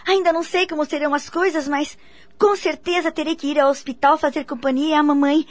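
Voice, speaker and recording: A woman's voice: high voice, fairly even intonation, brisk, dry recording, close to an everyday voice. A Brazilian actress playing a polite, anxious young woman in a radio drama, with a hesitant way of talking. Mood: worried, affectionate.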